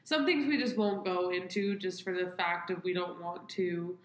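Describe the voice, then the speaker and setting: A woman's voice: lowish voice, fairly even intonation, normal speed, reverberant. A young adult woman with a cold co-hosting a podcast, sounding nasal and stuffy while chatting casually. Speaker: podcast co-host.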